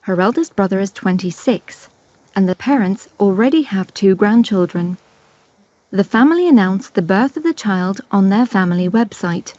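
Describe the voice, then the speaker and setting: Woman announcer for an exam recording, slow even read, mellow tone, dry dull playback. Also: mid-range voice, dry recording. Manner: slow, clear, even reading.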